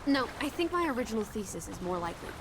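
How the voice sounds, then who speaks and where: A woman's voice: on the high side, very animated, quick pace, noisy background. A woman voicing a sociable, playful young travel companion in a video game, with a breezy, wry, mock-dramatic way of talking. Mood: wry, teasing.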